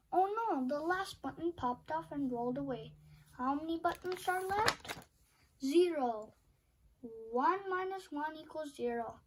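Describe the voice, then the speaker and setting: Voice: sits high, poor audio. A young reader playfully reading a children's picture book aloud and putting questions to the listener. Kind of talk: children's storybook read-aloud with sung refrains.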